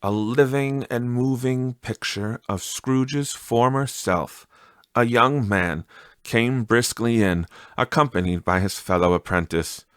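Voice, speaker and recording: A man narrating a classic story as a measured, deliberate audiobook reader. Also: medium pitch, a bit bright, clear, resonant tone, no room sound, studio quality. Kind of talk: scripted literary narration.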